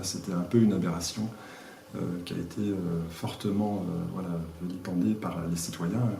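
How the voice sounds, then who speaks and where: Low-voiced man speaking haltingly, echoey distant recording. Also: dark tone. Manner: hesitant, halting, searching for words. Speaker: village mayor being interviewed. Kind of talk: informal spoken interview answers. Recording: echoey room, distant mic, faint noise.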